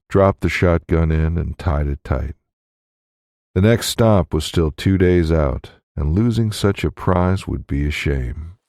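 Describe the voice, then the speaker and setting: A man reads fiction with a very low, dark voice. The storytelling is measured, and the sound is close and dry, as in an audiobook. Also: dry recording. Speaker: audiobook narrator. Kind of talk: scripted fiction narration.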